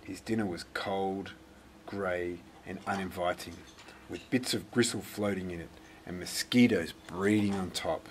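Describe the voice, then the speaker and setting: A man reading a children's story aloud in a dramatic, deliberate way. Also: deep voice, steady tone, slight background noise. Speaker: storybook reader. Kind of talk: expressive scripted children's story narration.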